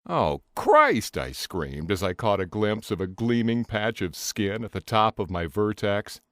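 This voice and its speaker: A man's voice: on the low side, normal speed, no echo, clean studio sound. A man reading a comic novel as an audiobook in a theatrical, first-person dramatic style. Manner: theatrical, expressive delivery. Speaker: audiobook narrator.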